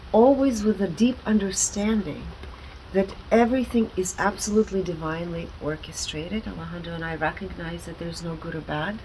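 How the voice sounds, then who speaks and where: A woman speaking earnestly and deliberately as an interview guest, explaining her work with emphatic stresses. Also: lowish voice, darkish tone, a little hiss or hum, low-quality recording. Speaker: guest, alternative wellness practitioner.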